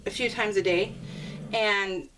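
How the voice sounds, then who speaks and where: A woman talks through her craft project in a casual, giggly voice at an average pitch. She rambles and breaks off mid-thought as she chats to viewers. The recording is homemade, with clear background noise and clicks. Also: steady tone, a little slow, fairly bright tone, poor audio. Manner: rambling, self-interrupting, giggly. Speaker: craft video maker showing scrapbook pages. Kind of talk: casual chatty voice-over, talking to viewers.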